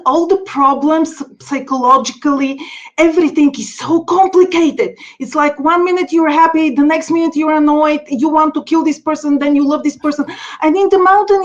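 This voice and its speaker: High-voiced woman. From Bulgaria. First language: Bulgarian. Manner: eager, talks in long run-on explanations. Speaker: podcast guest, explorer. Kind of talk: casual interview chat. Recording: dull lo-fi sound.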